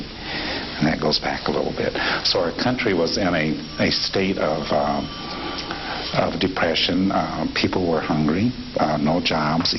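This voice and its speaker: Very deep-voiced man in his fifties. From Nebraska, USA. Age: 50s. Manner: reflective, unhurried, with frequent fillers and restarts. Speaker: interviewee. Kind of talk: relaxed oral history interview. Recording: dull lo-fi tape with steady hiss.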